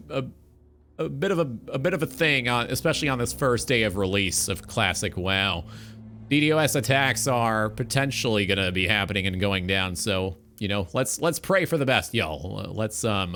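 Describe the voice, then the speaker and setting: Faint music plays behind a young man with a mid-range voice. He hosts a casual livestream talk show in a radio style. His delivery has an announcer's showmanship, with deliberate, drawn-out phrasing. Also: mid-range voice, a bit bright. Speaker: talk show host, game streamer. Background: background music.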